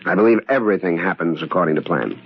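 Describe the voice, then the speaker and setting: An actor playing an uneasy, evasive hunter who speaks haltingly and guardedly, in old radio drama style. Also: male voice, low-pitched, slightly rough. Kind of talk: old-time American radio drama acting. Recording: dull old radio recording.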